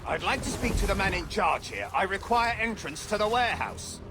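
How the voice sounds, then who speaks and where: An actor playing a pompous, haughty merchant's agent in a video game, scolding in florid phrases. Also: male voice, sits high, sing-song, brisk, background noise. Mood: bossy, suspicious.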